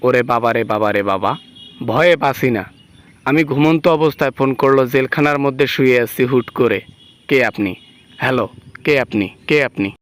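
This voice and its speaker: A man's voice: mid-range voice, brisk, natural voice. A man playing a frightened, guilty prisoner in an amateur comic phone skit, stammering and pleading with exaggerated complaints. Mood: startled, scared. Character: frightened male prisoner, guilty, whining, woken by dream caller.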